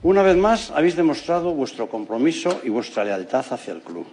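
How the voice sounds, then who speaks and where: A man's voice: on the high side, sing-song, a little fast, a little mellow, breathy edge. A man acting as a club president, giving a solemn, declamatory victory speech.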